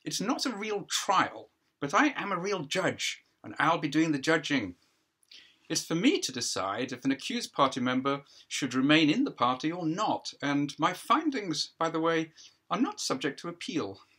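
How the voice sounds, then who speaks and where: A man voicing a fussy, patronising judge in a stage play, brisk and condescending with false geniality. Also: fairly high pitch, sing-song, sharp tone, husky, pitched above the performer's own voice, exaggerated voice. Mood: officious. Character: fussy, patronising male judge at party hearing.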